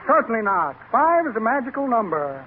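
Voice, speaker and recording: A man's voice: very high-pitched, very animated, a little fast. A man playing a pompous, shifty amateur magician with grandiloquent, evasive patter in 1930s radio comedy style. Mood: showy, boastful. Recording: tinny, noisy 1930s radio recording.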